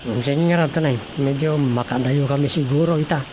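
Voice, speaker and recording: An actor playing an easygoing, hopeful young fisherman son, casual and deliberate, in a radio drama. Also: medium pitch, steady tone, a little mellow, lightly acted. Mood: practical. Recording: clearly noisy, ambient recording.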